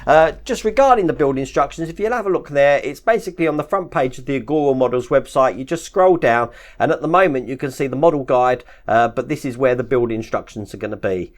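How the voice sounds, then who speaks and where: A man hosting his model-building channel, chatting casually through an unboxing, hoarse from a chest infection and full of fillers and little laughs. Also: mid-range voice, expressive, fast talker, hardly any pauses. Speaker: model-kit channel host.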